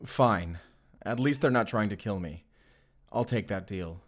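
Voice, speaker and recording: A man's voice: on the low side, measured pace. A man playing a young vampire slayer in a cinematic audio roleplay, devoted, defiant and earnest in his delivery. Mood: subdued.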